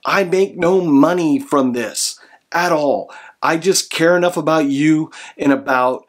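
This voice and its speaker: A man's voice: fairly high pitch, unhurried. A man in his forties with an American accent, explaining a product to camera in an earnest, instructional way. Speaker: product reviewer and presenter. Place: small room indoors at home.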